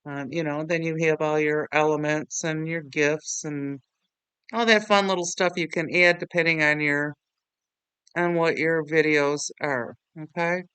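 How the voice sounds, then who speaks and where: Man, tutorial host, higher-pitched, crisp clear tone, informal, rambling, self-deprecating, casual walkthrough. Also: fairly even intonation, unhurried, pushed and bright, no echo. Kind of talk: casual screen-recording software walkthrough.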